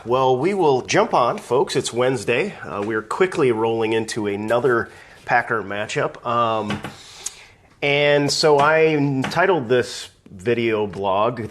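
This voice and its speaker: Male stream host, slightly raspy from allergies, chatty and ranting, greets viewers by name, casual webcam delivery. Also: medium pitch, a bit bright. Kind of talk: casual live video chat.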